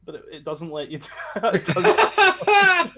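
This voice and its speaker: A man's voice: sits high, wildly swooping pitch, measured pace, heavy background noise. Blunt, sweary man. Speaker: podcast host. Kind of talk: casual chat.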